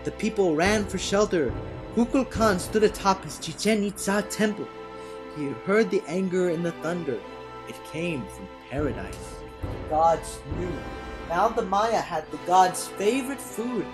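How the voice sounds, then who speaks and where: A man tells a storybook tale in a theatrical way, with a fairly high voice that swings widely in pitch. He moves between hushed lines and big loud ones. Orchestral music plays behind him. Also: animated, measured pace. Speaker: read-aloud storyteller. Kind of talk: dramatic storybook narration.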